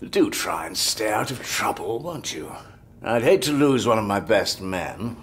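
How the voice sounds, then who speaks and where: An actor playing a gruff, fussy older police chief in an audio drama, blustery and drily sarcastic. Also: male voice, on the low side, very animated, measured pace, a bit bright, heavily acted. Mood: gruff fondness. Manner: blustery, hesitant mutters, dry sarcasm. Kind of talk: full-cast audio drama acting.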